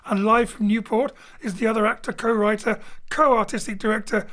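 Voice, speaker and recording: A man introducing an audio drama as its director, in a measured way. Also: sits high, fairly even intonation, a bit bright. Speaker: theatre director and presenter.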